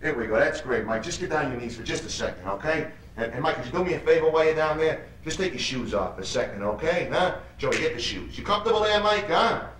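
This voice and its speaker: An actor playing a menacing, mocking street gang leader in a theatrical monologue, badgering and repeating himself. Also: male voice, a little high, expressive, fast, hardly any pauses, a bit bright. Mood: taunting. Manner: badgering, repetitive, punctuates lines with "huh?". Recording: echoey room, distant mic, noisy.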